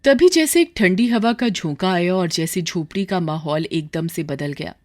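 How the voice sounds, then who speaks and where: A woman's voice: on the low side, moderate pace, a little mellow. A woman storyteller reading scripted dramatic narration for a moral story.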